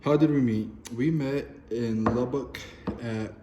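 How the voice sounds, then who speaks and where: A man, joking and swearing casually in a relaxed home chat. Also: medium pitch, slow, background noise. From Denver City, Texas. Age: 20s. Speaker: co-host of a couples channel. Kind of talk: casual chat while eating.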